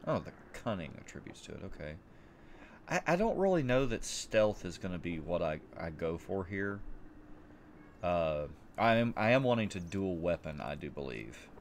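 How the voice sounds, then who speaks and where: Male let's-play host, very low voice, stuffy nose, rambling chatter, faint noise behind. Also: very animated, normal speed. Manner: rambling, repeats words as he thinks. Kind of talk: casual gameplay commentary.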